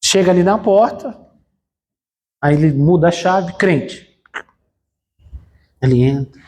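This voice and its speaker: A man's voice: high-pitched, expressive, slow, a little mellow. A man preaching a conversational sermon as a pastor in a church, explaining with frequent fillers and rhetorical questions.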